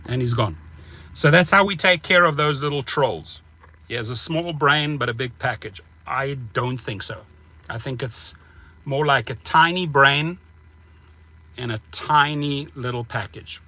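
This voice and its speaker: A man's voice: mid-range voice, unhurried. A man in his forties with a South African accent, ranting emphatically to his phone from a parked car as a vlog host. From United States. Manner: emphatic, animated, gestures while talking. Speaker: rideshare driver and channel host. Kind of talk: casual vlog rant to camera. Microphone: phone held at arm's length.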